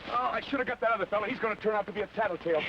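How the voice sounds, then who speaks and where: A man plays a hunted outlaw leader who gives orders briskly. He talks very fast in a high voice. The sound comes through a muffled, hissy old radio recording. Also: natural voice.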